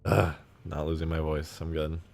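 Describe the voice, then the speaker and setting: A man live-streaming while he mods a game, thinking aloud, reading chat and laughing loudly at times, his voice going a bit hoarse. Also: rumbling low, monotone, moderate pace, a little hiss or hum. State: losing his voice, slightly hoarse. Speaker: modding streamer. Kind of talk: casual live-stream commentary.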